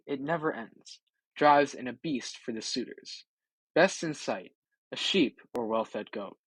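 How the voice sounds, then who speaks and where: A man's voice: mid-range voice, creaky, husky, dry recording, poor audio. Man reading epic verse, close and dry. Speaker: amateur audiobook reader. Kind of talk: scripted narration of epic poetry.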